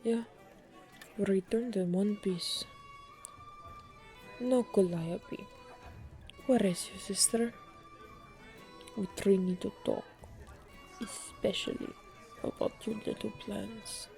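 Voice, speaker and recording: Slow, gravelly female winter elf assassin by a woman. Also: medium pitch, warm tone, soft background music. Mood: cool, commanding. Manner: slow, deliberate, authoritative. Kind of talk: amateur fantasy audio roleplay acting.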